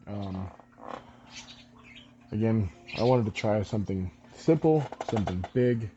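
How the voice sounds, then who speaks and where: A man's voice: fairly low pitch, takes it slowly, highs cut off, a little hiss or hum. A man with a stuffy, congested voice chatting casually with many ums while he paints a model and explains each step. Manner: relaxed, rambling with many ums. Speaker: miniature painting channel host.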